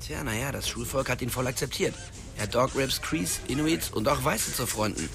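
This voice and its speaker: An actor playing a cheeky, glib young prankster in a radio drama, with a slightly husky voice. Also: male voice, medium pitch, moderate pace, over music, close to an everyday voice. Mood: teasing. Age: young adult. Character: cheeky young prankster, narrator's small-town best buddy.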